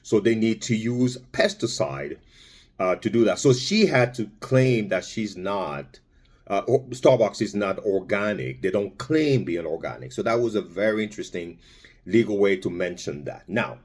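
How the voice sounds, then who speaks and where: A man's voice: lowish voice, lively intonation, normal speed. A man from Congo giving a rambling, casual vlog commentary with lots of fillers and restarts. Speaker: vlogger.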